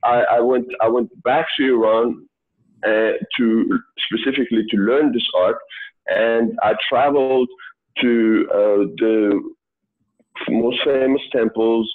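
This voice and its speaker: Halting man on a tinny call. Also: mid-range voice, fairly even intonation. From Sweden. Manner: halting, many fillers and restarts. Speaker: guest. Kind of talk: casual interview talk. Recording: tinny phone-like line.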